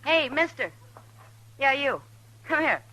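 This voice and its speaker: Casting: sociable Old West saloon gal, animated and bright, frank, saucy, radio drama delivery. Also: female voice, high voice, slow pace, slight background noise, full character voice. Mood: saucy, needling. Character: worldly, sociable frontier saloon woman, plain-spoken. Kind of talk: old-time radio Western acting.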